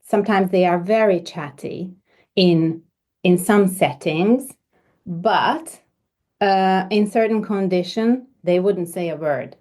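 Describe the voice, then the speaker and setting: A woman from Hungary, a homeopath guest speaking in a relaxed interview, hesitant and often repeating words as she thinks. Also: lowish voice, takes it slowly. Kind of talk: conversational interview.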